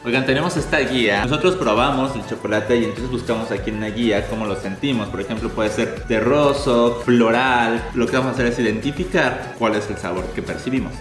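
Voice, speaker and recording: A man's voice: mid-range voice, a little fast, hardly any pauses. A man hosting a casual travel vlog, explaining things to viewers in an upbeat way. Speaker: vlogger. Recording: echoey room with music.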